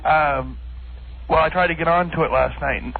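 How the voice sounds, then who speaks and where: A man's voice: fairly high pitch, gravelly. Nervous male caller, tinny phone line. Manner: halting, nervous. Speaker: radio show caller. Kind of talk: casual phone-in talk.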